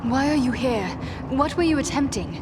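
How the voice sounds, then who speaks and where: A woman's voice: sits high, moderate pace, noisy background, lightly acted. An actress playing a resolute, earnest elf woman in a fantasy game, with formal, composed phrasing. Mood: questioning. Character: resolute young female elf adventurer, earnest and grave. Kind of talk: scripted fantasy game dialogue.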